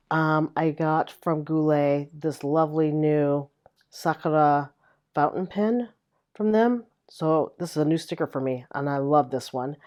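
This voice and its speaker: Low-pitched woman vlogger, kinda raspy today, chatty with lots of ums. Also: darkish tone. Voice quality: chatty, rambling with many fillers. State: slightly raspy voice. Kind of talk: casual chat.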